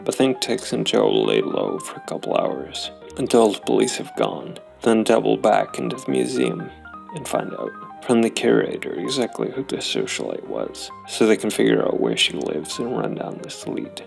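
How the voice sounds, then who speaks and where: A man with a low, heavily creaky voice reasons through a role-playing story aloud. His intonation swings widely as he muses, and music plays faintly behind him. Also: wildly swooping pitch, moderate pace, a little mellow. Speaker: solo role-playing game player and host. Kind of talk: musing tabletop game narration.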